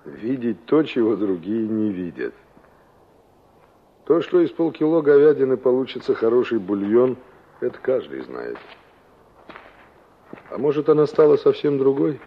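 An actor playing a wry, reflective young engineer in a radio play, speaking politely with a musing, ironic manner. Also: male voice, mid-range voice, very animated, unhurried, long pauses. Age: young adult. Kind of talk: radio play acting with inner monologue. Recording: dull old radio drama recording.

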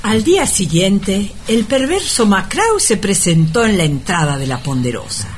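A woman's voice: medium pitch, sing-song, normal speed, close to an everyday voice. A woman giving dramatic scripted narration for a radio melodrama parody. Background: music.

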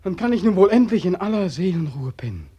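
An actor playing a haunted, sleepless corporal back from war, intense and insistent in a German radio play. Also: male voice, high voice, big pitch swings, fast talker, mellow, breathy edge, heavily acted. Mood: bitter accusation. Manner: intense, insistent, declamatory, theatrical.

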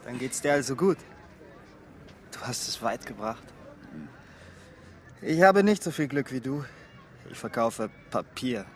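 A man's voice: medium pitch, lively intonation, measured pace, long pauses, a little hiss or hum, close to an everyday voice. An actor playing a desperate, brooding poor young man, telling his life in an intense, literary audio drama style. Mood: guarded. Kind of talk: first-person audio drama narration and dialogue.

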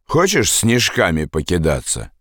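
A man voicing a tired, terse soldier in an audiobook, replying curtly and calmly. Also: low-pitched, measured pace, no room sound, studio quality, exaggerated voice. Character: weary foreign soldier, terse and calm.

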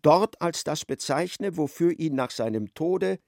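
An actor narrating a darkly comic literary radio play as an observant storyteller. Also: male voice, a little high, expressive, a little slow, creaky, darkish tone, dry recording, studio quality. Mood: wry narration.

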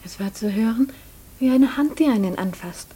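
An actress playing a dreamy young farmer's daughter in a German radio play, devoted, hesitant and quietly earnest. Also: female voice, a little high, lively intonation, moderate pace, breathy edge. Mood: dreamy, resolute.

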